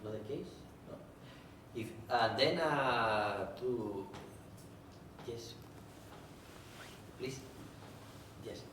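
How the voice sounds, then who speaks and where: A man's voice: mid-range voice, expressive, extremely slow, long pauses, a bit bright. Hesitant male moderator in echoey hall. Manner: hesitant, many fillers and restarts. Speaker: panel moderator. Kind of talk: moderating audience questions. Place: large conference room. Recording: echoey hall, distant mic, background noise.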